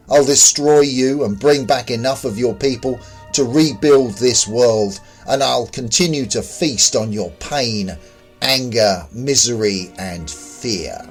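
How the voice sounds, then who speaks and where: A man's voice: mid-range voice, lively intonation, a little slow, soft background music. A man voicing a menacing alien demon. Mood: gloating menace. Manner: sneering, threatening. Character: menacing, contemptuous alien demon visitor, powerful. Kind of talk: audiobook character dialogue.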